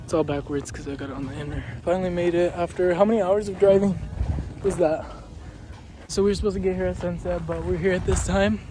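A young man vlogging a hike into his phone outdoors, excitable and laughing often as he talks to the camera. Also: high voice, fast talker. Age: young adult. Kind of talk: casual hiking vlog. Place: outdoors on a hillside trail. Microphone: phone held at arm's length.

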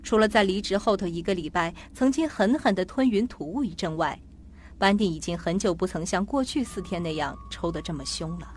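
A woman's voice: mid-range voice, expressive, brisk, a little hiss or hum. A woman narrator reading scripted narration for a suspense audio drama. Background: background music.

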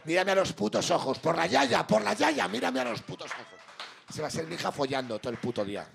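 A man, joking loudly and crudely as a comedy game host in a small bar, speaking into a handheld mic. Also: a little high, very animated, fast. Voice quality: gravelly. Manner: loud, rambling, crude joking. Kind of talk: drunken comedic banter. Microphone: handheld microphone.

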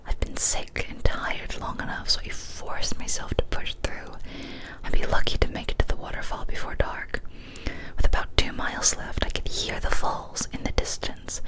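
A young woman in a breathy, unvoiced whisper reads a horror story in ASMR style, hushed and deliberate, close to the microphone. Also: poor audio. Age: young adult. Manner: hushed, deliberate, short phrases with pauses. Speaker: ASMR storyteller, channel host. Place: small indoor room, decorated home studio. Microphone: large studio microphone, very close.

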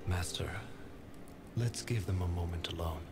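A solemn, sage-like talking sword in a game. He speaks low and measured over a clear musical score. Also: darkish tone, faint music behind. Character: ancient wise male talking sword, calm and solemn. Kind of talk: game cutscene dialogue.

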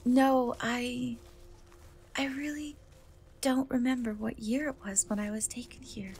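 A woman playing a gentle, wistful young woman cursed into a half-cat, in a soft, hesitant dark fantasy ASMR roleplay. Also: fairly high pitch, fairly even intonation, slight background noise. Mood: hesitant, sad. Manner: hesitant, soft, slow, often trailing off.

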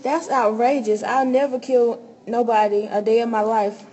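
A woman's voice: a little high, flat delivery, moderate pace, dark tone, lo-fi sound, close to an everyday voice. A young woman playing a blunt, indignant character in an amateur radio play. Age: young adult. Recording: faintly noisy amateur recording.

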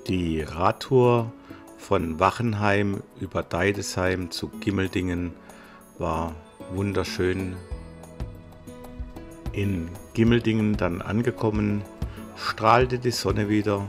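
Gravelly middle-aged German man, chatty. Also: on the low side, takes it slowly, music in the background. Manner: relaxed, chatty, agrees often. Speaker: travel vlogger. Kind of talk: casual chat and voice-over narration. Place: inside a camper van.

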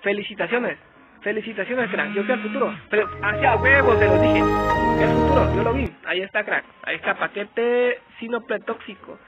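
Young guy hyped up opening game loot, high voice, repeats himself a lot. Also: normal speed, muffled recording. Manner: excitable, slangy, repeats himself. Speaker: gaming youtuber. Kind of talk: casual gameplay commentary. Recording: game music underneath. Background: game music.

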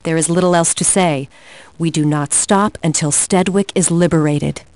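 Resolute warrior queen for fantasy game briefings: lowish register, regal, grave, commanding, dry close recording. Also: fairly even intonation, darkish tone, no room sound. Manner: measured, formal, commanding delivery. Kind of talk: scripted video game campaign briefing.

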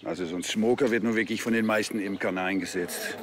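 Composed older beekeeper played by a man, lowish voice, explanatory, faint ambience, TV drama. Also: fairly even intonation, quick pace, a little hiss or hum, natural voice. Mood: explaining. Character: serious, knowledgeable older male beekeeper.

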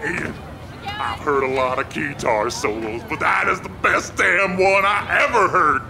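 An actor playing a crude, thuggish bully with a coarse, taunting drawl in a comic audio drama. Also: male voice, a little high, measured pace, gravelly, bright, forceful tone, slight background noise, full character voice. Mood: awed, friendly. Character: thuggish, crude male bully with a shoe fetish. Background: music.

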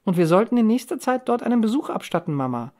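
An excited young woman played by a female audiobook reader shares village gossip. She is chatty and emphatic, her pitch lifted and bursting with eagerness to tell. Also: fast talker, close to an everyday voice. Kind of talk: audiobook character dialogue.

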